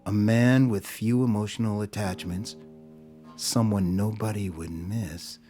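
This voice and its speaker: A man with a fairly low voice narrates a scripted story for an audio drama. He tells it as a dramatic storyteller, with soft music underneath. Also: steady tone, unhurried.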